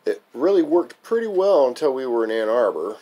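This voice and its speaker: A man's voice: mid-range voice, sing-song, fairly quick, warm tone. A man reading his own memoir aloud as a wry, amused storyteller. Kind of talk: memoir recitation, narrated reading.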